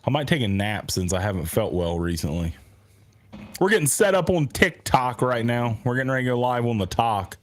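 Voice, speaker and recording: Man, raspy, under the weather, casual sports podcast banter, swearing a lot, small studio. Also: medium pitch, big pitch swings, a little fast. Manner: loud, profane, joking. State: sick with a raspy voice. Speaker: podcast co-host.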